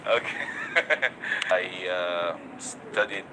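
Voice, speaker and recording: Man, late 20s, from Saudi Arabia, deep voice, good-humored, noisy. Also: extremely slow. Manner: good-humored, laughs between phrases. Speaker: interview guest, graduate. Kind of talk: casual interview answers.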